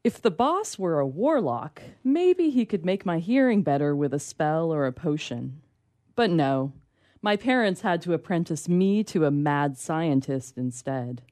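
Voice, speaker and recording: A woman's voice: sits low, sing-song, a little slow, mellow, dry recording, studio quality. A woman reading comic fiction as a hard-of-hearing, grumbling young apprentice to a mad scientist, with wry storytelling. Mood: wry complaint.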